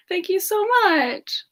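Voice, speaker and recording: A woman hosting a semi-ASMR question session, chatting casually in a soft-spoken, gentle and affectionate manner. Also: extremely high pitch. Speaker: ASMR channel performer hosting a live Q&A in character.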